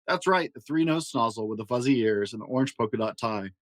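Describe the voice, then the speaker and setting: Casting: male storyteller for children's picture books, expressive read-aloud style, mid-range voice, very dry recording. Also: mid-range voice, a little fast, no room sound. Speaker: storyteller and host.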